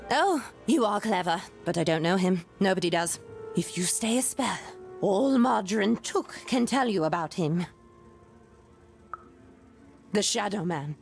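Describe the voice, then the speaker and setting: A creaky, cryptic female alley dweller in a video game. She is aloof and ominous, like someone who trades in dark lore, with faint music underneath. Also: mid-range voice, lively intonation, measured pace, pauses often. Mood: dismissive, sly. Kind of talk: video game character acting.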